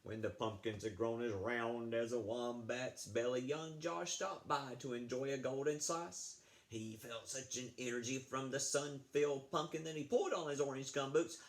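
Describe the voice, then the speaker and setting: A man reading a picture book aloud to children, expressively, with casual asides. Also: medium pitch. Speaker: read-aloud storyteller, apparently addressing schoolchildren.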